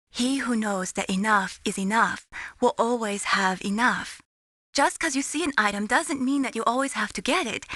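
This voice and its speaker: A woman playing a caring young Chinese-American radio support operator in a video game, earnest, encouraging and gently chiding. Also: a little high, no echo, studio quality.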